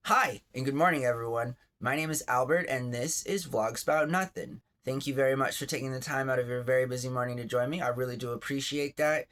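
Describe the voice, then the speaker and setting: A man's voice: medium pitch, a little fast, hardly any pauses, a bit bright. A man in his thirties hosting his own vlog from his bed, talking in a chatty, rambling, self-deprecating way with lots of asides. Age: 30s. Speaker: vlogger, channel host. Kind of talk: rambling casual vlog monologue. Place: on his bed at home, just woke up.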